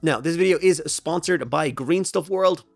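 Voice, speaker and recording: A hoarse Irish man with a flu-worn voice talks casually through a miniature painting tutorial, rambling and thinking aloud with plenty of fillers. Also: on the high side, moderate pace, no room sound, faint music behind. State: hoarse from a flu and throat infection. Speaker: miniature painting channel host.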